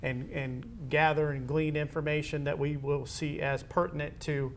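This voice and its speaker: A man hosting a casual interview show, speaking conversationally and piecing his phrases together haltingly. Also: fairly high pitch, fairly even intonation, slight background noise. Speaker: host of a school athletics talk show.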